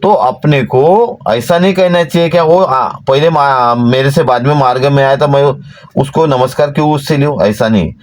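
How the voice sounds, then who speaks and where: A man, giving an earnest, exhorting devotional talk to followers from inside a parked van. His pitch rises when he stresses a point. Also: normal speed. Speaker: devotional channel host.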